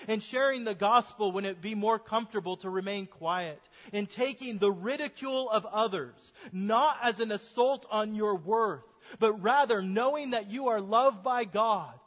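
A high-voiced male pastor delivers an earnest sermon and draws out his key phrases. His voice carries a long reverb from the church sanctuary, and the recording sounds dull and muffled. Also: a bit bright. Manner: earnest preaching, slows for emphasis.

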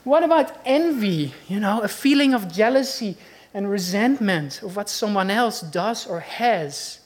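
A woman's voice: mid-range voice, animated, unhurried. A woman with a Dutch accent preaching a sermon in an earnest, conversational way, asking her listeners questions.

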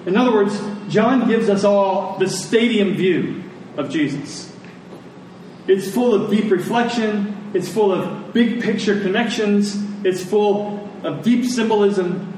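Echoey hall, distant mic, American man, middle-aged pastor, conversational sermon delivery, short deliberate phrases. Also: high-pitched, a little mellow, a little hiss or hum. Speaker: pastor preaching. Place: large church meeting room with congregation.

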